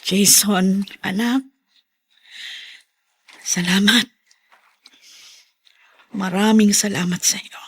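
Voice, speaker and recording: A woman's voice: medium pitch, steady tone, drawn-out words, pauses often, a bit bright, breathy edge, full character voice. An actress playing a devoted, grieving middle-aged mother, speaking in a sentimental, plaintive way in a TV melodrama. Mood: grieving, grateful. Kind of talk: TV melodrama acting.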